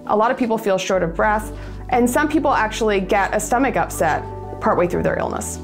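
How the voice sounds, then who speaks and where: A woman physician calmly explaining illness symptoms in a measured, informative way. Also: medium pitch. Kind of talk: explanatory clip on medical symptoms. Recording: inserted clip with faint music.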